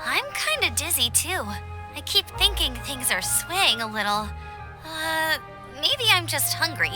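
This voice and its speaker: Game role: earnest young girl adventurer, high-pitched expressive chatter, clearly scored with music. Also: unhurried. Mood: sheepish, unsteady. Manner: expressive, casual, girlish. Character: friendly, earnest, upbeat young female adventurer. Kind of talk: scripted game voice acting.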